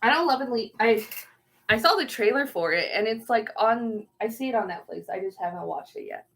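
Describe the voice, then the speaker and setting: A woman's voice: on the high side, animated, a little fast, gravelly, a bit bright. A young woman streaming and casually answering chat, often trailing off while searching for words.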